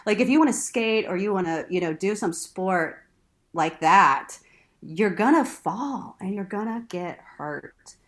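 A woman from Texas speaks as a guest in a relaxed, candid interview. She is playful and blunt, pokes fun at herself, and swears freely in a mid-pitched voice. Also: measured pace. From Texas, United States. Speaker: interview guest, burlesque performer and instructor. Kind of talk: casual, candid conversation.

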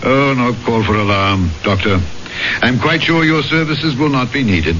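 An actor in a 1970s radio drama playing an urbane, unruffled male police magistrate with a measured, wry manner. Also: medium pitch, animated, a bit bright, close to an everyday voice. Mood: calm, wry. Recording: muffled 1970s radio recording, faint noise.

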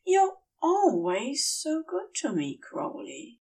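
A woman voicing a dazed, earnest, gentle male angel who pleads in a halting, breathy way. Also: sits high, wildly swooping pitch, recorded from a distance, pitched above the performer's own voice, full character voice. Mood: grateful, soft.

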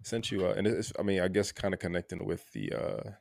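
A man co-hosting a podcast in a casual, reflective way, halting and repeating words, often checking in with "right?". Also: very animated, no room sound. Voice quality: gravelly low voice. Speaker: podcast co-host.

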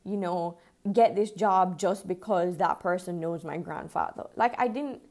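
A woman's voice: lowish voice, a little slow, a little mellow. A woman, talking informally and chattily as the host of a casual personal podcast. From Jamaica. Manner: informal, chatty, mild swearing.

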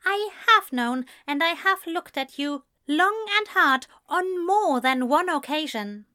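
A woman's voice: high-pitched, lively intonation, takes it slowly, bright, forceful tone, voice pushed up, exaggerated voice. A woman voicing an earnest, prim man in an audiobook story, with formal, precise phrasing and a firm, exasperated manner. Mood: firm, angry.

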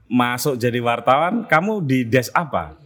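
A man's voice: mid-range voice, very animated, moderate pace, a bit bright. Chatty teasing male interviewer. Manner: teasing, chatty, laughs often. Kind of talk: casual interview chat.

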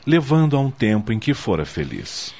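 A man's voice: mid-range voice, big pitch swings, normal speed, a little mellow, muffled recording. A man with a Brazilian accent narrating a scripted radio drama with dramatic storytelling delivery. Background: faint music.

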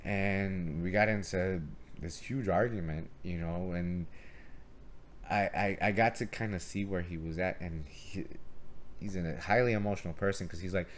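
Low-voiced middle-aged man, gym-owner coach type, strong carrying voice, animated storyteller. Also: moderate pace, a little hiss or hum. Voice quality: strong voice that carries. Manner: animated storyteller, acts out quoted arguments. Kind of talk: casual podcast storytelling.

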